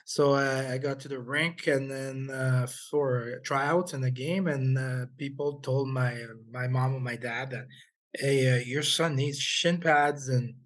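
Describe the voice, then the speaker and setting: A middle-aged former hockey player from Haiti, raised in Quebec, telling stories in an interview with many pauses and restarts. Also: male voice, mid-range voice. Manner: halting, many fillers and restarts. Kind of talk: interview storytelling.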